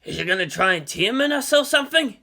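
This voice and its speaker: Lady playing wisecracking mech gunner, slangy, bit skittish, bright lively voice. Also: mid-range voice, quick pace, vocal fry, exaggerated voice. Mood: flippant. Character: flippant, skittish war-mech gunner, a soldier.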